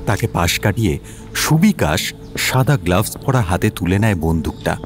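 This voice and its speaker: A man's voice: low-pitched, sing-song, moderate pace, over music. A man narrating a scripted detective story as its narrator.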